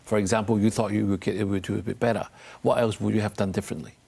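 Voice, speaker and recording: A man's voice: on the low side, little pitch movement, rapid-fire, creaky, a little mellow, breathy edge. A man hosting a television talk show, presenting and putting questions to guests in a studio interview.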